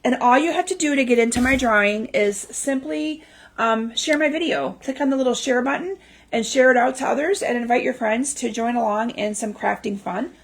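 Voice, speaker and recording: A woman hosting a crafting livestream in chatty, casual talk full of ums, her voice slightly raspy from a lingering cough. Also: fairly high pitch, normal speed. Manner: chatty, lots of ums and restarts. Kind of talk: casual live-stream chat. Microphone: livestream mic.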